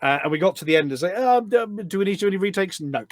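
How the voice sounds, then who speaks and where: A man's voice: high voice, expressive, racing, no echo, clean studio sound. A man chatting casually as an interview guest, telling anecdotes in an enthusiastic, talkative way. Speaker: podcast guest, voice pack producer.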